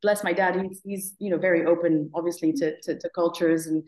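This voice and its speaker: A woman in her thirties, originally from Morocco, speaks as an interview guest with a low, even-paced voice. She talks in a reflective way, stopping for fillers and restarts. Her voice carries a noticeable room echo. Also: a little fast. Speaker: guest, app founder. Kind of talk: conversational interview.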